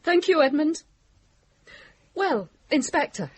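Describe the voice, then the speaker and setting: An actress playing a proud, composed, sharp-tongued widow in a radio drama. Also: female voice, high-pitched, animated, takes it slowly, no echo.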